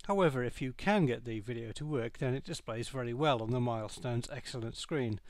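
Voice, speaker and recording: A man reading a scripted product review as narration, in a measured, matter-of-fact way. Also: mid-range voice, no echo.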